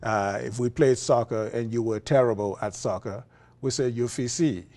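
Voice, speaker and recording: A man with a Liberian accent, a philosophy professor being interviewed, talking in a relaxed way with frequent fillers and chuckles. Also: medium pitch, moderate pace. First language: Liberian English. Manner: chuckling, many uh fillers and restarts. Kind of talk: conversational interview.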